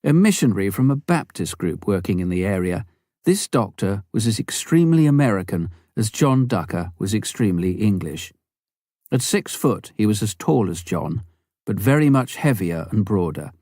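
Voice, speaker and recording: A man's voice: fairly low pitch, sing-song, moderate pace, warm tone, no room sound, clean studio sound. A man narrating a memoir as a scripted audiobook reading.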